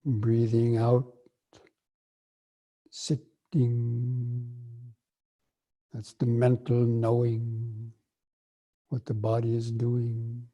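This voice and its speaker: A man guides a breathing meditation in a soft, unhurried voice. His delivery is extremely flat and very slow, with long pauses, and his tone is dark and mellow. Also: mid-range voice, highs cut off. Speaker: meditation teacher.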